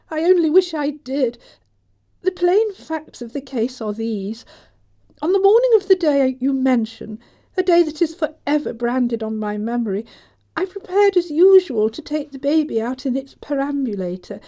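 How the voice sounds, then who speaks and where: A woman's voice: high-pitched, animated, moderate pace, dark tone. A woman playing a prim, earnest middle-aged governess in a stage comedy audiobook, with an English accent and a formal, theatrical manner. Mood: ashamed, confessing.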